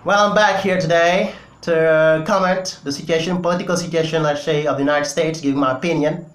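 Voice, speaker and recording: A man's voice: on the high side, steady tone, fairly quick, a bit bright, echoey room. A Senegalese man whose first language is Wolof, giving emphatic political commentary in English with many fillers. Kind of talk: opinion commentary to camera.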